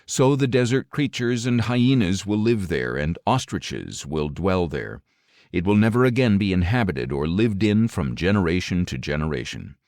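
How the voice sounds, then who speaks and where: A man narrating scripture as an audiobook in a solemn, dramatic style, measured and emphatic, with phrases that fall away at the end. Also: sits low, very animated, darkish tone, dry recording, studio quality.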